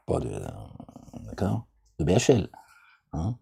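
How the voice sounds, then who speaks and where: Middle-aged male commentator: deep dark rasp, meandering preachy chat that keeps checking in with his audience. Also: sing-song, takes it slowly. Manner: digressive, asks "d'accord?" often, jokey asides. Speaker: religious commentator. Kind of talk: informal Quran commentary, rambling.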